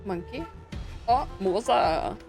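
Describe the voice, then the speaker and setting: A woman's voice: medium pitch, expressive, takes it slowly, a little mellow, faint music behind. A woman giving casual reaction commentary as she streams a video game. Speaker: gaming streamer.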